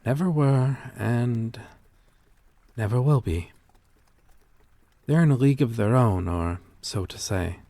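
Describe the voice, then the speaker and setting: A man playing a courteous, melancholy vampire-like creature in an ASMR roleplay, speaking gently and intimately in formal, old-fashioned phrases. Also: mid-range voice, slow, pauses often, no echo. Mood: hesitant, wistful. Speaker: roleplay voice performer.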